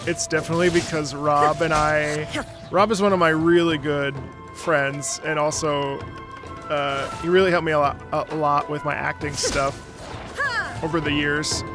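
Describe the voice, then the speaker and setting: A man's voice: on the high side, fairly even intonation, moderate pace. A man streaming a game, chatting casually in a joking, chatty manner. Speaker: streamer and voice actor. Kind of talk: casual livestream chat over gameplay. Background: game music.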